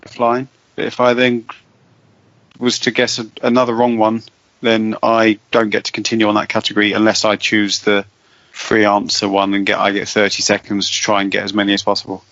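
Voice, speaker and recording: Low-voiced Welsh-sounding man asking questions. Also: monotone, a little fast, fairly bright tone, no echo. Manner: careful, questioning, repeats words. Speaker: podcast co-host, quiz contestant. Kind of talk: casual podcast chat. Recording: dry, dull lo-fi podcast audio.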